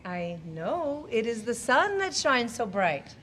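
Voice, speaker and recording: Female actor as strong-willed wife, expressive, slightly high, theatrical comedy delivery, faint noise behind. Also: takes it slowly, full character voice. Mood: protesting. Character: spirited wife, contrary then mockingly obedient. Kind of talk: Shakespearean comedy audio play acting. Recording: noticeable background noise.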